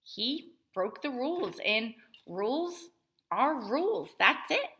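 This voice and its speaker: A woman reader plays a self-righteous mother holding forth to other parents in a children's story. Her voice is bright and forceful, swinging widely in pitch as she complains with heavy emphasis. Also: sing-song, slow, exaggerated voice. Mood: insistent, self-righteous. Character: indignant self-righteous mother rallying other school moms. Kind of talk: children's novel read-aloud character voice.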